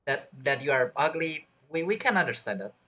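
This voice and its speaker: A man giving casual reaction commentary over a game as a streamer, blunt, jokey and halting. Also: fairly high pitch, big pitch swings, sharp tone. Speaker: gaming streamer.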